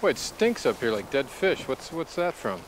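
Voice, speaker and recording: A man's voice: mid-range voice, big pitch swings, normal speed, a little hiss or hum, low-quality recording. A man hosting an outdoor show, chatting casually and asking curious, folksy questions while hiking. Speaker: show host. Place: outdoors in open coastal tundra, on the move.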